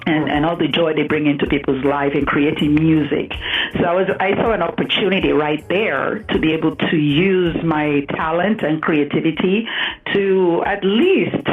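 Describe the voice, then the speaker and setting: A woman from Nigeria, a guest in a casual radio interview, talking with enthusiasm and many fillers and restarts. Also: low-pitched. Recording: tinny phone-line sound with faint music.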